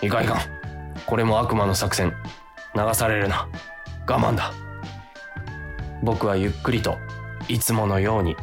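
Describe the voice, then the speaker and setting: A man's voice: lowish voice, steady tone, measured pace, vocal fry, long pauses, music in the background. A man playing an earnest, flustered office worker who sees himself as a hero, acting and narrating a radio drama. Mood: resolute narration. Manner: dramatic inner monologue, earnest.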